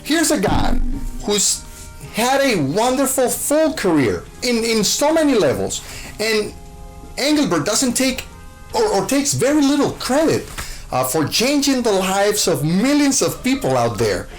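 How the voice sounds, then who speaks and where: A man's voice: high-pitched, big pitch swings, unhurried, faint music behind, poor audio. A man from Costa Rica, a show host, answering interview questions with gushing enthusiasm, full of fillers and restarts.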